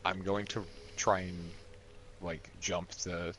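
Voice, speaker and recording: A man's voice: low voice, level tone, slow, a bit bright. A man chatting casually as a player in a tabletop role-playing game, hesitant and thinking aloud, with some noise on the recording.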